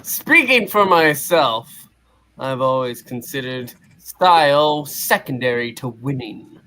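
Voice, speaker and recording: A man's voice: mid-range voice, lively intonation, slow, pushed and bright, a little hiss or hum, poor audio. A man voicing a confident, wry superhero in a dry, quippy manner, reading a comic book aloud. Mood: self-assured.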